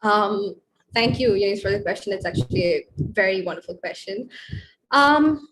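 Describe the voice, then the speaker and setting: A woman's voice: medium pitch, animated, normal speed, background noise. A woman of twenty-four speaking on an online panel, friendly and hesitant with many ums. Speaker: panelist, mass communication student. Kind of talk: casual panel chat.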